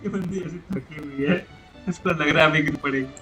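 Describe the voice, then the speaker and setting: Very fast-talking male game streamer. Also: fairly high pitch, fairly bright tone. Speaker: game livestreamer. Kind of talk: casual livestream chat. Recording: game music behind the voice.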